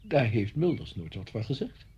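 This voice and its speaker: An actor in a radio play playing a flustered, easily indignant schoolmaster at home, hesitant and blustering. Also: male voice, medium pitch, big pitch swings, moderate pace, a little mellow, husky. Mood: affable, uneasy. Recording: slightly dull old radio recording.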